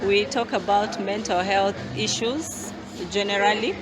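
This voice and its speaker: A woman's voice: mid-range voice, steady tone, unhurried, rough recording. Friendly woman hosting an interview over music. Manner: friendly, laughs often, asks short questions. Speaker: host. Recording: music playing behind the voice.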